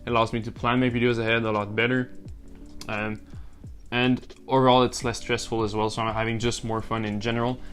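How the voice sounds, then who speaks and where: Casting: young man, relaxed vlogger, mid-range voice, faint music underneath. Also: mid-range voice, brisk. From French-speaking Canada. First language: French. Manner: relaxed, rambling with many uh and um fillers. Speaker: YouTuber. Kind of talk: casual talking-to-camera vlog.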